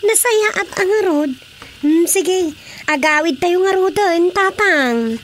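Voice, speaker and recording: A woman's voice: very high-pitched, lively intonation, slow, full character voice. A woman with an Ilocano Filipino accent playing a concerned, earnest daughter in a radio drama comedy. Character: concerned young daughter, earnest peacemaker between her parents.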